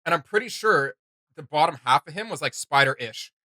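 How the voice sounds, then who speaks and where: A man's voice: a little high, animated, fairly quick, pushed and bright, dry recording. A man hosting a reaction video, chatting in a rambling way with many fillers. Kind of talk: casual reaction commentary.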